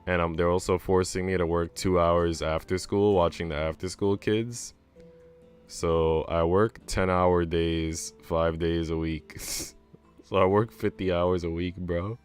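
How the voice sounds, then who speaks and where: A man's voice: sits low, fairly even intonation, unhurried, darkish tone, clear, resonant tone. A man streaming, chatting in a slangy, rambling way between bits of a visual novel he is reading. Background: game music.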